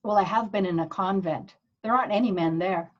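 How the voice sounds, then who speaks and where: A woman's voice: mid-range voice, fairly quick, natural voice. A woman playing a naive, sheltered, wistful nun in an earnest comedic table read. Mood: mild, curious. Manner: innocent, earnest, easily scandalized. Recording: dull, lo-fi video call audio.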